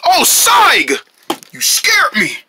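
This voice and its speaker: A man voicing an excitable, indignant young male sidekick in an amateur cartoon skit. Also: very high-pitched, moderate pace, noisy background, heavily acted. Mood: startled.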